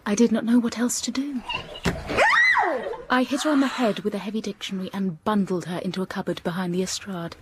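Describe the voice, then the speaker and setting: A woman's voice: medium pitch, fairly even intonation, fairly quick, very noisy, close to an everyday voice. A woman playing a timid but steely young schoolteacher in a period radio drama, speaking formally and precisely and narrating her own story. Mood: reflective.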